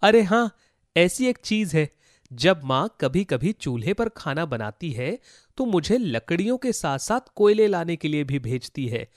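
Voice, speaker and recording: A man's voice: a little high, very animated, unhurried, dry recording, clean studio sound. A man voicing a kind, brave boy in a children's story, speaking earnestly and with animation. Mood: eager. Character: kind, brave poor boy, eager to help. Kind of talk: Hindi children's moral story voice acting.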